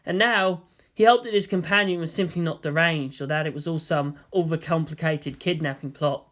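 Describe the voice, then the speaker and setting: Fan fiction audiobook narrator: mid-pitched, scripted, expressive storytelling delivery. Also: normal speed.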